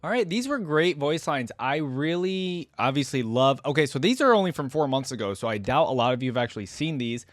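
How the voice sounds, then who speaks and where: Casual man, dry close sound, medium pitch. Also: lively intonation, brisk, hardly any pauses, no room sound. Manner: informal, conversational. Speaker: reaction video host. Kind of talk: casual reaction commentary. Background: faint music.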